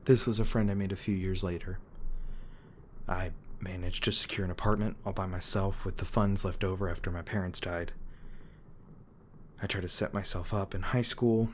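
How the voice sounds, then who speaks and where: Male actor as haunted monster hunter: deep voice, guarded yet remorseful, halting intimate confession, audio drama with ambient noise underneath. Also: steady tone, natural voice. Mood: melancholy, reminiscing. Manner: halting, hesitant, emotionally weighted confession.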